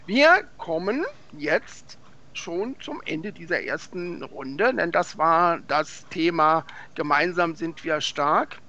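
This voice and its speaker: A man's voice: fairly high pitch, lively intonation, slow pace, pushed and bright, highs cut off. A middle-aged man co-hosting a live online show over a headset from a room at home, chatty and upbeat. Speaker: co-host of an online vaulting show. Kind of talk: casual live-stream hosting. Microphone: headset on a video call.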